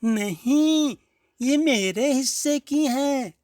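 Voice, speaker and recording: Man putting on a high little-girl voice. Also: lively intonation, darkish tone, dry recording, studio quality, heavily acted. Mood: caring. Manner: high, drawn-out childlike speech. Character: timid, caring little girl bringing food.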